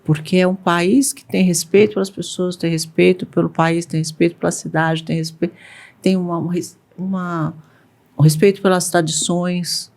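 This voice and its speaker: A woman's voice: lowish voice, flat delivery, normal speed, darkish tone. A middle-aged woman hosting an interview podcast, effusive and conversational. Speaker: podcast host.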